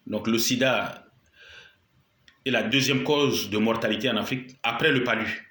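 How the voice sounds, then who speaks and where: A man with a cold delivers a spoken video statement, his throat sore but his tone bright and sharp. The room around him rings with echo. He presses his points with indignation, often repeating himself for weight. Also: medium pitch, moderate pace. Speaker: political commentator.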